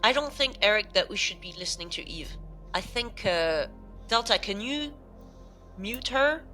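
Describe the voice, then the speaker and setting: A woman's voice: medium pitch, lively intonation, moderate pace, bright, forceful tone, soft background music. A woman playing a blunt, distrustful space station team member in a conversational science fiction drama. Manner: blunt, insistent, hesitating conversationally. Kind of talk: improvised conversational sci-fi drama.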